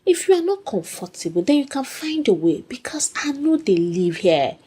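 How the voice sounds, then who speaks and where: A woman storyteller puts on a young pregnant mistress for a folktale. She is brazen and utterly sure of herself. Her pitch moves in lively swoops over a husky tone. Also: moderate pace, full character voice. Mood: dismissive. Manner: brazen, oozing confidence.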